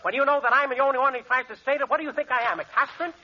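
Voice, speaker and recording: A high-pitched, self-assured hotel manager, played by a man, pours out rapid double-talk that sounds like sense but isn't. The old radio recording is muffled and heavy with hiss. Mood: indignant. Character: fast-talking male manager spouting double-talk gibberish. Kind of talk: 1940s radio comedy acting.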